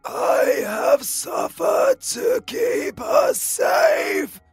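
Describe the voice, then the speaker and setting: A man's voice: very high-pitched, drawn-out words, creaky, no room sound, soft background music, exaggerated voice. A British man playing a tormented, starving undead husband in a horror audio roleplay, crying and pleading in anguish. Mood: tearful remorse. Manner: anguished, melodramatic pleading in broken shouts.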